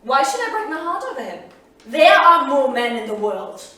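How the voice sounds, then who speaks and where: Woman acting a proud grieving saga woman, bitter, highish voice, really echoey stage recording. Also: big pitch swings, moderate pace, pushed and bright, exaggerated voice. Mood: bitter, defiant. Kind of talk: dramatic staged reading. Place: small theatre studio. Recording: echoey theatre, distant mic, faint noise.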